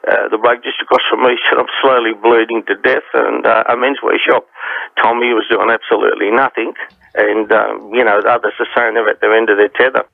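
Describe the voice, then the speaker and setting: A man's voice: mid-range voice, little pitch movement, brisk, gravelly, no echo. An Australian shop owner, a man, talking conversationally in an interview over a thin phone line.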